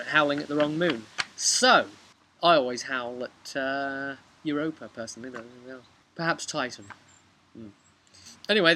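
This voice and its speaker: A British man tells the story of a tabletop game session in a casual, stop-and-start way. His mid-pitched voice is bright and forceful. He is recorded outdoors on a camera mic, with faint noise around him. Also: low-quality recording. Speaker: game master recapping a session. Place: outdoors, on a bench by a house. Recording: outdoor webcam with background noise.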